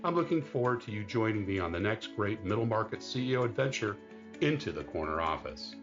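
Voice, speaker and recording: A man's voice: fairly low pitch, lively intonation, a little fast, dull audio, over music. A middle-aged American man hosting an interview podcast, moving between prepared introductions and conversation. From United States (California). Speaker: podcast host.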